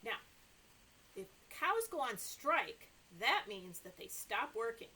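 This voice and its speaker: A woman's voice: a little high, very animated, slow pace, sharp tone, slightly rough, low-quality recording. A middle-aged woman hosting story time for small children, reading aloud and chatting in an exaggerated sing-song way. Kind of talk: read-aloud story time for toddlers, talking to young children. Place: small indoor room with sofa and toys.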